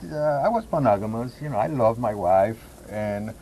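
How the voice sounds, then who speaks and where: A man's voice: fairly low pitch, animated, mellow, low-quality recording. Guy, stop and start talker, chatting in an interview, some background noise and ambience. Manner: halting, searching for words with repeated false starts. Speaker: guest, poetry reader. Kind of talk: casual radio interview.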